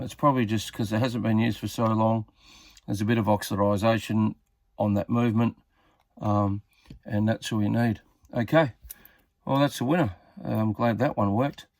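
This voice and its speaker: Low-voiced man giving a casual tutorial. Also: steady tone, normal speed, no echo. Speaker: hobbyist demonstrating a radio repair.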